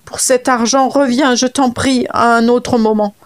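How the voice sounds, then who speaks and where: Woman doing a wailing, croaking frog. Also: fairly high pitch, steady tone, measured pace, voice pushed up, lightly acted. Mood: mournful. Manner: croaking, mournful pleading. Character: wailing female frog debtor, sly and evasive.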